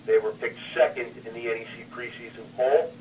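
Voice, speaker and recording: A man's voice: medium pitch, a little fast. A man moderating a conference call, making brief formal announcements over the phone. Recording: distant, noisy phone conference line.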